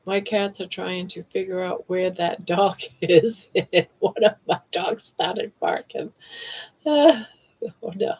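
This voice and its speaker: A woman's voice: lowish voice, slow, breathy edge, dull audio. A woman in her sixties hosting a casual live stream, chatting and joking and talking sweetly to her pets. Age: 60s. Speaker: livestream host.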